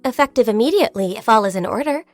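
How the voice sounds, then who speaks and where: Actress as shy young female game character, diligent secretary, gentle, polite, earnest, high-pitched, dry recording. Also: expressive, racing, dry recording, faint music behind, natural voice. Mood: earnest, formal. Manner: polite, earnest, hesitant when flustered. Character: gentle, diligent, shy half-beast secretary, youthful woman. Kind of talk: video game character voice lines.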